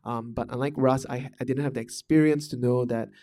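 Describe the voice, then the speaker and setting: A man's voice: mid-range voice, steady tone, brisk, mellow. A man from Malaysia talking through management ideas in a casual monologue, thoughtful and full of fillers and restarts. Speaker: podcast host discussing management.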